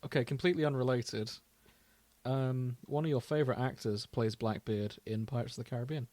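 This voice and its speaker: A man's voice: medium pitch, fairly even intonation, a little fast, a little mellow, no room sound. A man co-hosting a podcast, chatting casually and telling stories in a wry, mock-serious way. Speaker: podcast host. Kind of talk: casual comic chat.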